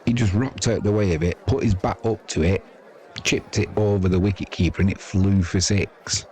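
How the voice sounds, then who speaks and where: Deep-voiced older British man commentating cricket. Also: normal speed, a little mellow. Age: 50s or older. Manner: chatty, rambling, colloquial. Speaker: sports commentator. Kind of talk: casual ball-by-ball cricket commentary. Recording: crowd noise behind the voice.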